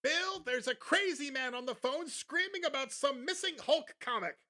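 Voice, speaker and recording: A man's voice: extremely high pitch, lively intonation, normal speed, pushed and bright, voice pushed up, exaggerated voice. A man voicing a flustered middle-aged mother calling out from a distance. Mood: harried.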